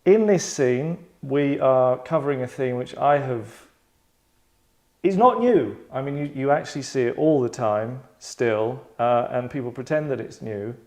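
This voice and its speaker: A man's voice: medium pitch, lively intonation, measured pace, darkish tone. A man hosting a table read, explaining things casually to a group in a sardonic, rambling, mock-dramatic way. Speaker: host directing an actors' table read. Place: London.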